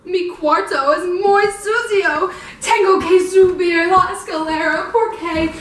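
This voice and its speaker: A young woman, a native English speaker, performing an amateur scripted skit with halting, exaggerated learner delivery. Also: extremely high pitch, fairly bright tone. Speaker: student performer. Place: inside a family house. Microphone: camera mic from a distance. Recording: echoey rooms, distant camera mic, noisy.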